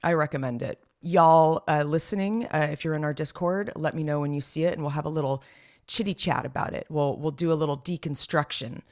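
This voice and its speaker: A woman in her thirties co-hosting a podcast, a little hoarse, chatting casually and rambling with lots of fillers and restarts. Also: sits low, brisk, a little mellow. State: slightly raspy, hoarse voice. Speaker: podcast co-host. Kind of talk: casual chat.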